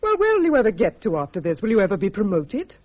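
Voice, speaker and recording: An actress with a British accent playing an army officer's weary, bitter wife in a radio drama, brittle and sardonic. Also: female voice, medium pitch, huge pitch swings, quick pace, darkish tone. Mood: bitter, exasperated. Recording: dull, lo-fi radio drama recording.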